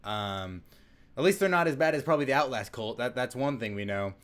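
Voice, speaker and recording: A man's voice: mid-range voice, expressive, brisk, fairly bright tone. A young man hosting a casual let's-play, chatting in a rambling way with lots of fillers and restarts. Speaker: gaming channel host.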